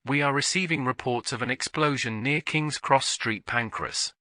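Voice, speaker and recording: A man's voice: mid-range voice, normal speed, bright, forceful tone, no room sound, studio quality, faint music behind. A man reading a scripted emergency broadcast announcement in a formal, solemn manner as a continuity announcer.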